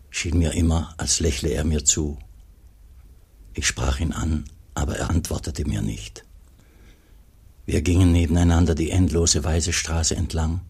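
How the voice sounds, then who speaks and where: A sorrowful, devout monk tells his own story in a radio drama. The voice is extremely deep and very husky. The delivery is grave, literary and confessional. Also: a little mellow, heavily acted. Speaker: actor narrating in a radio play. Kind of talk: first-person radio drama narration.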